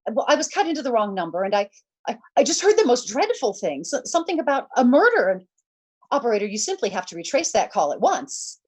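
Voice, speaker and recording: A woman's voice: fairly high pitch, big pitch swings, fast talker, darkish tone, slightly rough. An actress playing an agitated, demanding married woman in an old-time radio thriller, stammering and repeating herself indignantly. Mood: frightened, urgent. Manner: stammering, breathless repetitions, indignant.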